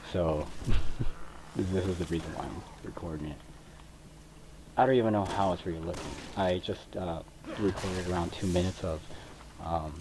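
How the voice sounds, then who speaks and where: Deep low-key young guy rambling over his game, lots of um and like, crappy mic. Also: noisy background. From Mexico. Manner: rambling and hesitant, many fillers, soft laughs. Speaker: gaming video creator. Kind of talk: casual gameplay commentary. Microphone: cheap computer microphone. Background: controller clicks.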